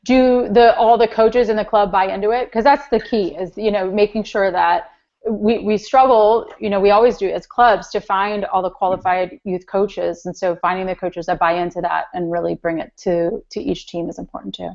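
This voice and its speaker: A woman's voice: medium pitch, steady tone, moderate pace, creaky, a little mellow. A woman giving conversational expert advice as an interview guest on a conference call, explaining and restating her points. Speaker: guest, youth soccer parent educator. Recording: muffled, lo-fi conference call line.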